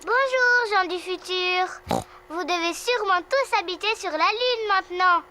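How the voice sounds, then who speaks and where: Bright, very high-pitched little girl piglet. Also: measured pace, exaggerated voice. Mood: excited, proud. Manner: childlike, eager. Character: Peppa, cheerful confident little girl pig. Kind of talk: children's cartoon dub.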